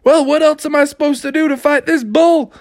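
A man voicing an excitable, cocky bear showman in a children's story, with showy exclamations. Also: high voice, unhurried, no room sound, studio quality, pitched above the performer's own voice, exaggerated voice. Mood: puzzled, eager.

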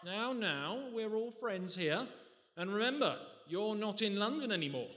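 An actor playing a corrupt ship's officer, wary and threatening, in a radio play. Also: male voice, sits high, animated, moderate pace, a bit bright. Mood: smug, threatening. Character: corrupt, menacing male ship's officer in smuggling. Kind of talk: radio play acting before a live audience. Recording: echoey hall, live stage recording.

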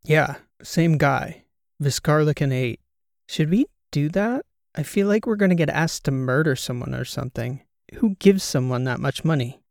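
A man voicing an excitable, incredulous male podcast co-host in an audiobook, animated and rambling. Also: on the high side, moderate pace, creaky, no room sound, studio quality. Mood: flustered. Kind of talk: audiobook character dialogue.

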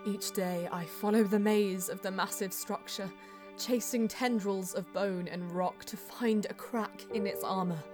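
A woman playing a solemn Eldar bonesinger who narrates her quest in a measured, poetic way in an audio drama. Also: mid-range voice, steady tone, lightly acted. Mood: weary, determined. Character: female Eldar bonesinger, solemn, mystical, easily frustrated seeker. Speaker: narrator and lead character. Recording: music under the voice. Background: background music.

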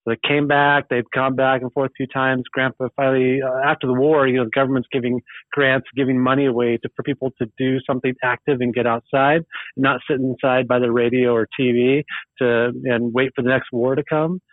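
A man from Oregon telling family stories as a podcast guest, easygoing, rambling and quick to laugh. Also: mid-range voice, flat delivery, dry recording. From Eugene, Oregon, USA. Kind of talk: casual podcast chat. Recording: tinny phone-like remote line.

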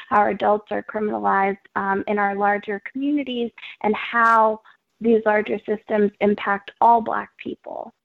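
A woman from Ethiopia, an immigrant rights organizer, answering interview questions earnestly and in a measured way, with frequent ums. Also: medium pitch, creaky, dry recording. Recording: tinny dry phone line.

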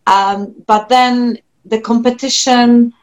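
A woman whose first language is Polish talks in an interview, with a clear Polish accent. She is a singer-songwriter who speaks thoughtfully, often restarting her sentences and laughing softly. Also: on the high side, steady tone, slow, low-quality recording. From Poland. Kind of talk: reflective interview talk.

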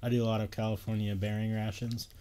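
A man's voice: on the low side, level tone, quick pace, mellow, a little hiss or hum. A man chatting rowdily with friends, joking crudely and laughing often. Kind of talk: rowdy casual chat with friends.

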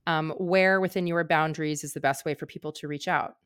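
A woman's voice: sits low, fairly even intonation, a little fast, fairly bright tone, smooth, no room sound, studio quality. A woman hosting a conversational podcast interview, effusive and emphatic in the way she talks. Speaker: podcast host.

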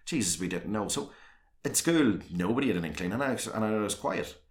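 A man's voice: expressive, brisk. A man from South Armagh speaking with a South Armagh accent as an interview guest, talking candidly and at length with frequent restarts. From South Armagh, Northern Ireland. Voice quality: deep voice. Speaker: guest, councillor and former soccer manager. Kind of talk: earnest conversational interview.

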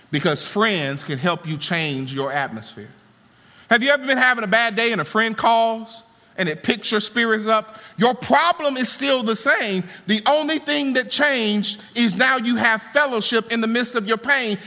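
A man preaching a sermon to a congregation, swinging from soaring lines to low, conversational asides. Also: pushed and bright. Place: church auditorium. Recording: long hall reverb.

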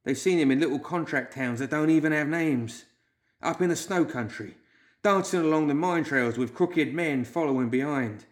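A man voicing a frightened, obsessive clerk in a story, whimpering and wailing in drawn-out, fearful speech. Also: medium pitch, lightly acted. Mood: haunted, fearful.

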